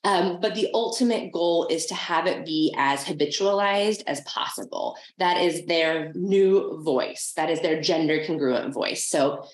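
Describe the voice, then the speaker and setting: A woman speech therapist giving an interview, explaining things with enthusiasm, with vocal fry at the ends of her phrases. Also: low-pitched, a little slow, few pauses, reverberant.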